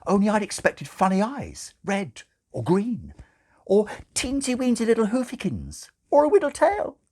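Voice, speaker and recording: A man's voice: high voice, huge pitch swings, moderate pace, darkish tone, voice pushed up, full character voice. A man voicing a chattering, scatterbrained nun who gushes in baby talk, in a storybook reading. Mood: gushing, cooing. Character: chattering scatterbrained young Satanist nun, cooing over babies.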